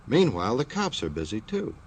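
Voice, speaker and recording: An actor playing a wry, folksy American storyteller in an old radio detective drama, using playful slang. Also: male voice, mid-range voice, wildly swooping pitch, brisk. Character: wisecracking folksy male storyteller of detective tales. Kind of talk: 1940s radio acting. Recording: old radio recording with background hiss.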